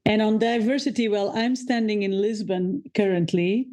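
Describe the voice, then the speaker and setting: A woman from Portugal, hosting a podcast interview over a remote call, friendly and quick to laugh. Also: mid-range voice. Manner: friendly, laughs often, warm welcomes. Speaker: podcast host. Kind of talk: scripted intro then casual interview.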